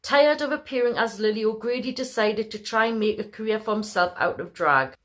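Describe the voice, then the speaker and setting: A woman's voice: on the high side, a little fast, a bit bright. A woman reading scripted biographical narration.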